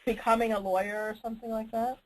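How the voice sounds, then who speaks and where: A woman's voice: fairly high pitch, flat delivery, quick pace. Woman leading a noisy conference call. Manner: tentative, thinking aloud, consultative. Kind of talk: informal meeting talk on a conference call.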